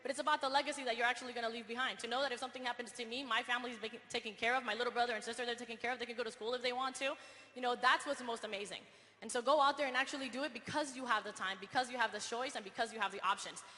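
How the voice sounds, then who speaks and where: A woman's voice: a little high, steady tone, very fast, bright, forceful tone. A woman giving an earnest, insistent motivational speech on stage. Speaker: speaker at a business event. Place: large hall on stage. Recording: reverberant hall via PA.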